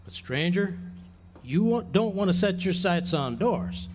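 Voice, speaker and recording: An actor playing a wordy, pompous jailed drifter in a live radio drama, with florid, theatrical delivery. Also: male voice, sits high, expressive, measured pace. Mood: knowing warning. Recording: noisy live amphitheater stage recording.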